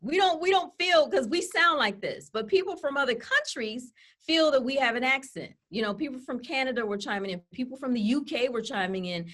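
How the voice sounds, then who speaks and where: A woman's voice: high-pitched, animated, brisk, few pauses, fairly bright tone. A woman co-hosting a casual webinar presentation from home, talking freely with many "you know" and "okay?" asides. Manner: chatty, full of fillers like "you know" and "okay?". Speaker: co-host and presenter. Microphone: laptop webcam on a video call.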